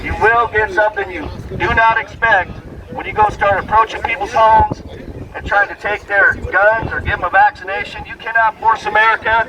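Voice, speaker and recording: A man protesting through a bullhorn outdoors, mocking passers-by and shouting slogans. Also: sits high, highs cut off. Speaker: street protester. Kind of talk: amplified protest speech. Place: outdoors on a city street. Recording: street crowd and noise outdoors.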